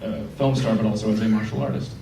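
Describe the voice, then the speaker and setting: A man's voice: lowish voice, steady tone, fast, gravelly. A man moderating a screening Q&A, chatty and joking with the guest. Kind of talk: live audience Q&A. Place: theater hall with audience. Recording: echoey hall from far off, noisy.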